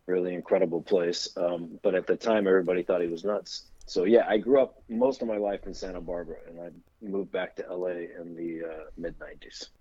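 A middle-aged man speaks in a low, breathy, husky voice. He tells loose, easygoing anecdotes as an interview guest. The recording is dry and a little lo-fi. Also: steady tone, fairly quick, few pauses, mellow, dry recording. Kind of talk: casual storytelling interview.

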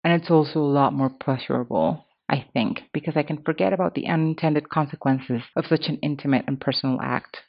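A woman's voice: sits low, fairly quick, gravelly, hardly any pauses, lo-fi sound. A woman in her thirties hosting a podcast, reading scripted commentary in a candid, conversational way. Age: 30s. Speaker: podcast host.

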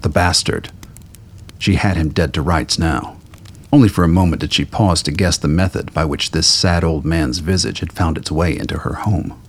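Very deep gravelly male narrator. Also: moderate pace. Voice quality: gravelly, husky. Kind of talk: scripted dramatic narration of fiction.

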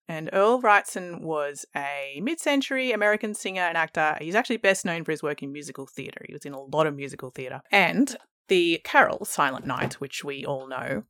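Animated woman telling a comic story. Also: on the low side, dry recording, clean studio sound. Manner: playful, laughs often. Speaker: podcast co-host. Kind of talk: comic storytelling and music chat.

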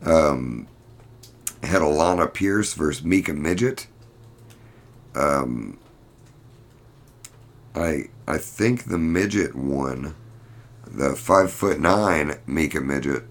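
Very deep, gravelly man, slow-talking. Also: animated. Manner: rambling, blunt, profane. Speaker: podcast host. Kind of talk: casual fight recap.

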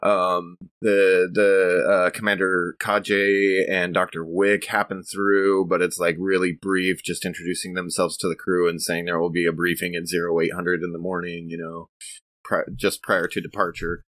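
A man narrating a tabletop roleplaying game casually, in a halting, thoughtful way as he improvises. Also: deep voice, level tone, fairly bright tone, no echo.

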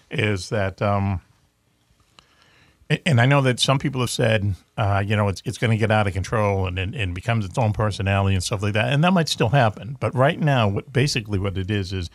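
Low-voiced Boston man, chatty talk-show host, rambling, dry close sound. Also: dry recording. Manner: rambling, chatty, many fillers and restarts. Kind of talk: casual talk show chat.

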